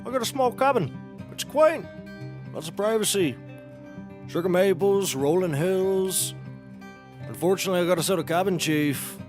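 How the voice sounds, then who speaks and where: A man's voice: sits high, animated, moderate pace, long pauses, over music. An actor playing a folksy, rambling eccentric man in a comedy series, drawing out his words. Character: eccentric odd-jobbing man pitching a monster hunt. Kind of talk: comedic audio drama acting.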